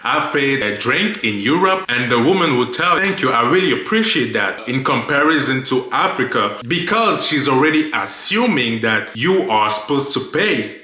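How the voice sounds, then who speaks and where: A man's voice: mid-range voice, big pitch swings, moderate pace, few pauses, bright, forceful tone. A man from Cameroon, giving personal opinions to viewers in an explanatory way, repeating points for emphasis. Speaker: video host. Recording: echoey, reverberant room.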